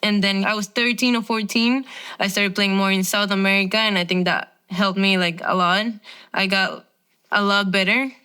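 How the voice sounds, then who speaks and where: A woman's voice: medium pitch, fairly even intonation, normal speed, bright, forceful tone, clear, resonant tone. A young woman from Venezuela, a professional tennis player, chatting casually as an interview guest with lots of filler words. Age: 20s.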